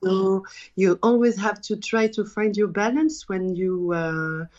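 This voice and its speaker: A woman from France, a belly dancer and podcast guest, answering thoughtfully and haltingly as she searches for words. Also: medium pitch, darkish tone, lo-fi sound. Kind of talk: interview answers.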